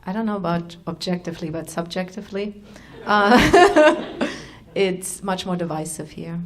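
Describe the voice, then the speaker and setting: A woman's voice: fairly low pitch, animated, measured pace. A woman on a panel speaking in a wry, matter-of-fact way. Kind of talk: panel discussion answer.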